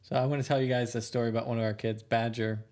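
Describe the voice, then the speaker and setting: A man co-hosting a relaxed podcast. He talks in an easy, chatty way, tells stories and teases now and then. His voice sits at an ordinary middle pitch. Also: very fast. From American South. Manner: teasing, storytelling. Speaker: podcast co-host. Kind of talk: casual chat.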